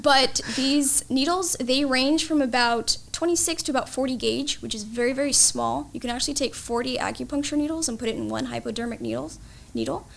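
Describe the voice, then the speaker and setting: A woman's voice: high voice, fairly quick, vocal fry. A woman explaining her field as a guest expert in an interview, in an instructive, matter-of-fact way.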